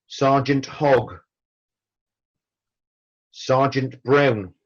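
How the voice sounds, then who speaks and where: A man reading a solemn memorial roll call in a measured, formal way, pausing after each name. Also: mid-range voice, highs cut off. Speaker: veterans' branch chairman reading a roll call.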